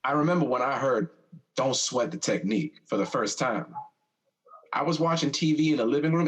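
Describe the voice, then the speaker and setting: A young man acting in an audio drama as a passionate, teasing, protective older brother with an expressive, streetwise way of talking. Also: medium pitch, lightly acted, low-quality recording. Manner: expressive, streetwise, informal storytelling.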